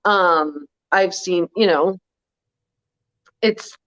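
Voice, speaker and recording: A woman with a deep voice co-hosting a casual chat, wry and blunt, full of "like" and "um". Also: very slow, fairly bright tone, lo-fi sound. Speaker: co-host.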